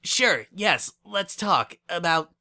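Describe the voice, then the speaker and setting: A man's voice: high-pitched, lively intonation, slow, bright, forceful tone, pitched above the performer's own voice, heavily acted. A man voicing a flustered, anxious young female pony with a hesitant, awkward manner in a dramatic story reading. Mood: nervous, awkward.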